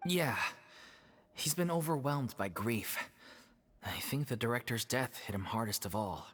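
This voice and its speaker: A voice actor playing a gentle, concerned young troupe member in a game. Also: medium pitch, lively intonation, measured pace, husky, no echo. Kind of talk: game voice acting.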